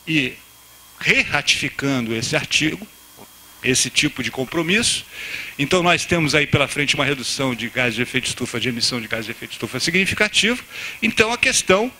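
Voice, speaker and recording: A man's voice: fairly high pitch, sharp tone, poor audio. A man speaking on a conference panel, explaining his points in long, stretched-out clauses. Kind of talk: unscripted academic panel talk.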